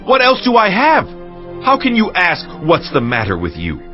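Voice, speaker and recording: An indignant, plaintive householder of ancient times, acted by a man, raised in pitch and swinging wildly. The biblical drama sounds slightly dull, with faint music underneath. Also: huge pitch swings, moderate pace. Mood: aggrieved, indignant. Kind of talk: dramatized scripture audio acting.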